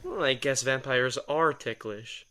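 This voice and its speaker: A man's voice: medium pitch, a little slow, pushed and bright, slight background noise. An actor playing a brooding, reluctant male vampire who answers in dry, curt replies in an ASMR audio drama.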